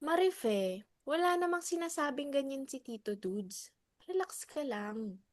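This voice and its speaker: A woman's voice: sits high, animated, measured pace, no room sound, clean studio sound. A woman with a Filipino accent playing a hesitant, peacemaking club member in a dramatic reading. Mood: soothing. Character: hesitant, conciliatory clubwoman keeping the peace.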